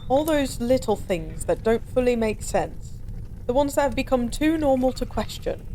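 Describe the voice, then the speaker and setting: A woman's voice: fairly high pitch, animated, normal speed, warm tone, slight background noise, lightly acted, poor audio. A woman playing a weary, lonely former goddess in an intimate audio roleplay, speaking wistfully and philosophically in formal, old-fashioned wording. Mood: melancholy, musing. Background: bar ambience.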